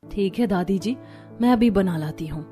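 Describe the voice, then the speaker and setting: A woman playing an overworked, aggrieved young daughter-in-law in a cartoon family drama, speaking in an expressive, complaining way. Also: medium pitch, moderate pace, faint music behind, natural voice. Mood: dutiful.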